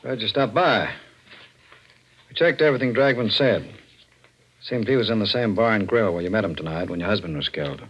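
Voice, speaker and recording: An actor playing a blunt, skeptical police detective with a brusque, matter-of-fact delivery in a 1940s radio mystery. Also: male voice, lowish voice, fairly quick, pauses often, a bit bright, slightly rough. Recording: dull, muffled old radio recording.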